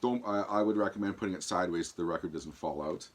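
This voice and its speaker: A man with a low voice speaks to his viewers in a casual vlog style. His throat is sore from an infection, so the voice fades in and out. He talks haltingly, pausing as he demonstrates and explains. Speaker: vlog host. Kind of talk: casual product demonstration to camera.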